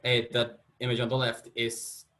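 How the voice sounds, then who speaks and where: A man's voice: medium pitch, monotone, moderate pace, bright, forceful tone. A young man from Colombia, a student presenting his research in a casual, self-deprecating way. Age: young adult. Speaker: student panelist.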